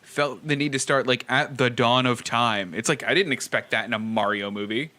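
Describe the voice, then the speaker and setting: Male co-host, bright mid-pitched voice, animated and wry, casual film chat. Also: normal speed. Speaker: podcast co-host.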